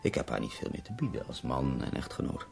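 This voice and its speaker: An actor playing a bedridden, dying poet who speaks in ornate, wry, theatrical phrases in a radio drama. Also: male voice, a bass voice, sing-song, fast talker, vocal fry, music in the background, exaggerated voice.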